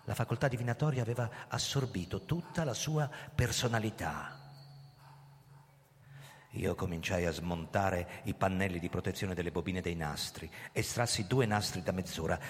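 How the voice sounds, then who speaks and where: An actor playing a hunted middle-aged police chief in a radio play, telling his story theatrically, moving between hushed narration and agitated outbursts. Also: low-pitched, close to an everyday voice. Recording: long hall reverb, music underneath. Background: music.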